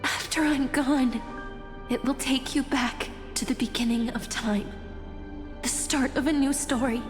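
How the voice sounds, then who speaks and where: An actress voicing a gentle, hopeful young woman who watches over time in a fantasy game, speaking tenderly and deliberately. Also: high-pitched, steady tone. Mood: tender, earnest. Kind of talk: dramatic fantasy game voice acting. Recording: long reverb under clear background music.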